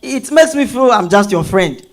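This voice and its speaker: Man acting a high-voiced, flirtatious charmer. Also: lively intonation, normal speed. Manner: teasing, persistent. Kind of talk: dramatized stage reading.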